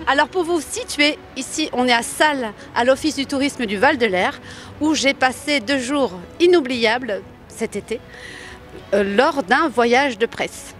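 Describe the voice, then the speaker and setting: A woman presenting and interviewing on location for a local TV report, with a high voice. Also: unhurried, fairly bright tone, faint music behind.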